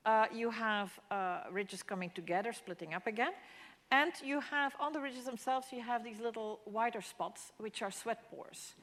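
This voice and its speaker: A woman's voice: fairly high pitch, normal speed, fairly bright tone. A woman whose first language is Dutch, giving a public mathematics lecture with slides and explaining things with frequent restarts and fillers. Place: lecture hall. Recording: long hall reverb.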